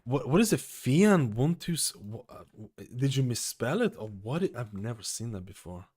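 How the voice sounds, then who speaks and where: A man's voice: mid-range voice, very animated, normal speed, a little mellow. A young man giving casual, joking gameplay commentary, rambling and swearing as he plays. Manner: rambling, joking, swears and says bro a lot. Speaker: game streamer.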